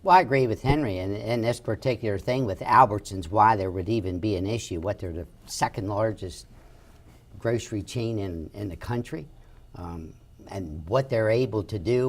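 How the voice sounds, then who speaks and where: A man's voice: lowish voice, breathy edge. A man on a TV panel voicing emphatic views and pressing questions. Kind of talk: casual panel discussion.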